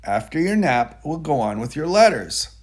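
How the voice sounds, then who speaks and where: Male reader as kindly storybook teacher figure: patient, encouraging, husky, with lively intonation. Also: fairly high pitch, normal speed, pitched above the performer's own voice. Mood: patient, instructive. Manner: instructive, gently encouraging. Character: patient, kindly man teaching his pet monkey letters. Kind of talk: storybook character dialogue.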